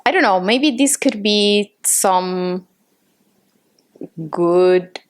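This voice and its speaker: A woman's voice: mid-range voice, extremely slow. A woman from Italy with an Italian accent, chatting casually as a podcast co-host, often searching for words with "like" and checking with "right?". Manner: hesitant phrasing with frequent "like" and tag questions. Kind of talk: casual chat.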